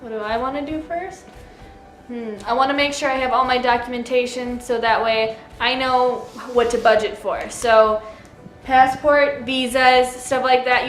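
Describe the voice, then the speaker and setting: A woman's voice: sits high, a bit bright, reverberant. A woman co-hosting a casual advice show, talking in a chatty way with plenty of fillers. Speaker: co-host.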